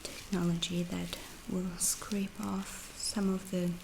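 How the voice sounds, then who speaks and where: A woman playing a calm, curious alien scientist in an ASMR roleplay, speaking softly and often in a whisper, with a detached, clinical, reassuring manner. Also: on the low side, slow pace. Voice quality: soft, breathy, often whispered. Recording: roomy echo, clear background noise.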